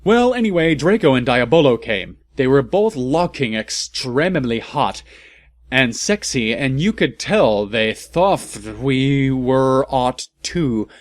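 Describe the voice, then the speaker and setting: A man's voice: medium pitch, expressive, takes it slowly, no room sound. A man giving a comedic, theatrical and mock-serious reading of amateur fan fiction as its narrator. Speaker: narrator and commentator.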